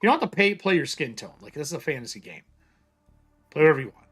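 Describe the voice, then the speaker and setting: A man acting as game master in a casual tabletop session, excitable and loose, swearing freely as he explains the setting. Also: a little high, fast talker, husky, soft background music.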